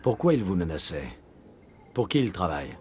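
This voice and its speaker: No-nonsense game soldier commander, lowish voice, terse, faint background noise. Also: male voice, sing-song, moderate pace, a little mellow. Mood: businesslike. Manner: terse, businesslike. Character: resolute, no-nonsense male human military commander. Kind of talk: video game voice acting.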